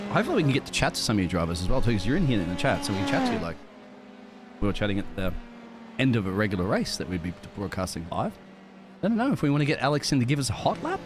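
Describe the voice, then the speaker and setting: A man's voice: medium pitch, background noise. A man leading live sim-racing commentary in a chatty, jokey way. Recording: loud music bed behind voice.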